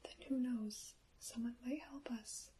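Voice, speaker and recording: A woman voicing a wise, polite little peasant girl from a fairy tale in a soft, earnest whisper. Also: fairly high pitch, steady tone, measured pace, lightly acted, rough recording. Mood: earnest caution.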